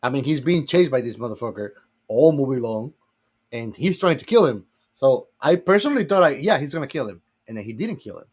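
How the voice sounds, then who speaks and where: A man's voice: medium pitch, very animated, fairly quick, husky. A man co-hosting a casual film discussion in an informal, slangy way, repeating phrases for emphasis. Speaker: podcast co-host.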